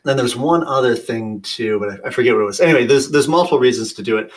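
A man chatting as a podcast guest, relaxed and talkative. He pads his stories with "you know" and "like." His voice sits at a typical middle pitch for a man. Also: fast talker. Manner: lively storyteller, lots of "you know" and "like". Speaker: guest, long-distance traveler. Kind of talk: casual conversation.

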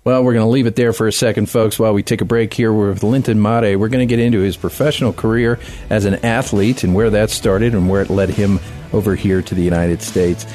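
A man's voice: lowish voice, steady tone, a little fast, mellow, dry recording, faint music behind. A man hosting a talk radio interview, steering the conversation with leading questions. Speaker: radio talk show host.

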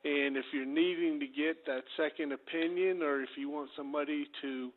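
A man's voice: on the high side, fairly even intonation, normal speed. A man appearing as a financial planner guest on a radio show. He is losing his voice and speaks in an upbeat, easygoing way. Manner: upbeat, easygoing sales talk. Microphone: phone line. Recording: tinny phone line.

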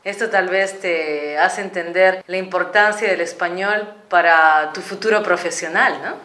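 A woman hosting an interview, asking gentle, encouraging questions and backing the speaker up with short replies. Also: fairly low pitch, measured pace, roomy sound. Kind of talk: radio interview.

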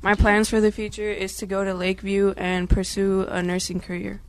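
A man's voice: high-pitched, completely flat delivery, fairly quick, clean tone, no echo. An eighteen-year-old man, a graduating student guest, speaking casually with many ums.

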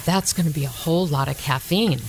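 A woman's voice: sits low, brisk. A woman playing a skeptical small-town diner cook in a radio play. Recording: noisy sizzling kitchen sound effects behind.

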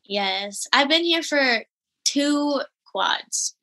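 A woman in her twenties, an elite gymnast and interview guest, talking in a relaxed, giggly way with many fillers. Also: high-pitched, drawn-out words. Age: 20s. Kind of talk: casual interview chat.

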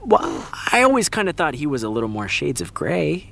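A man's voice: a little high, wildly swooping pitch, fairly quick, vocal fry, a bit bright, heavily acted. A young American man acting as a laid-back, supportive friend in a fiction podcast, with casual, teasing, slangy delivery. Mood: tentative, earnest. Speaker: voice actor. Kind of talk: naturalistic fiction podcast dialogue.

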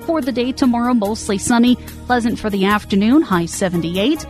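A woman meteorologist reading a scripted weather forecast in a smooth, even way. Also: a little high, a little fast, soft background music.

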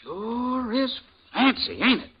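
An actor playing a jovial, talkative frontier blacksmith with a folksy drawl in a 1950s radio drama. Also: male voice, very high voice, sing-song, slow, breathy edge, heavily acted. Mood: curious. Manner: folksy drawl, chuckling, nosy. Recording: dull old radio broadcast.

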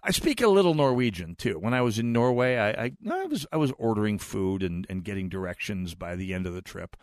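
A man's voice: fairly low pitch, sing-song, a little fast, darkish tone, dry recording, studio quality. An American man, middle-aged, hosting a talk radio show in a chatty, digressive monologue with frequent restarts. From United States. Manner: chatty, digressive, repeats words when restarting.

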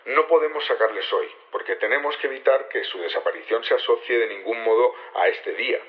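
An actor playing a composed team leader, explaining methodically and politely in an audio drama. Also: male voice, medium pitch, brisk, lightly acted. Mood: composed, businesslike. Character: composed male leader briefing his conspirators, methodical. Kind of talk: naturalistic audio drama acting. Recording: slightly dull radio-like sound.

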